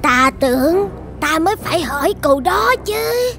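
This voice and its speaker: An imperious serpent demoness from a children's cartoon, acted by a woman. Her voice is high and creaky, drawing out each menacing phrase. Music plays underneath. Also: very animated, fairly bright tone, full character voice. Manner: slow, drawn-out, imperious. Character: menacing female serpent demon of haunted castle.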